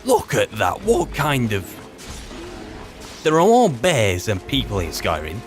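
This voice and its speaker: A man's voice: mid-range voice, huge pitch swings, measured pace. A man giving casual role-play commentary as he plays, musing and muttering asides to himself. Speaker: gamer playing in character. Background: game music.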